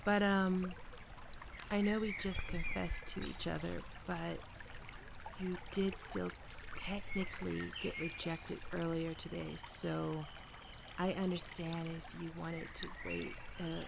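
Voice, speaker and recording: A performer playing a gentle young best friend with a secret crush, speaking softly and hesitantly in an ASMR roleplay, comforting and tender. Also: mid-range voice, flat delivery, takes it slowly, vocal fry, background noise. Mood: shy, hopeful. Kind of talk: soft-spoken ASMR roleplay.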